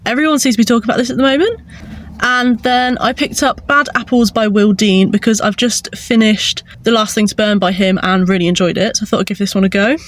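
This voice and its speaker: A woman's voice: a little high, fairly even intonation, a little fast. A woman with a congested, bunged-up nose, chatting enthusiastically in a casual book vlog. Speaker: book vlogger.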